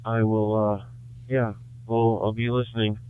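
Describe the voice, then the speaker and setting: A low-pitched man talks in an even, level tone, his voice smooth and clean despite coming through a tinny two-way radio. He pauses often and restarts his sentences while he explains things to the host. It sounds like a casual call-in on a ham radio channel. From Michigan, USA. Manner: halting, many fillers and restarts. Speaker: caller on a ham radio net. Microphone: handheld radio transmitter.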